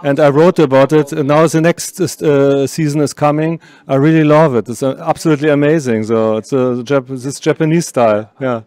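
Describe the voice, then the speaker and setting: Middle-aged German-native male interviewer, eager and rambling, slightly high pitch, dry close handheld mic. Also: fairly even intonation, normal speed, few pauses, a little mellow, dry recording. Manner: enthusiastic, rambling. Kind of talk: festival interview. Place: small room at a film festival.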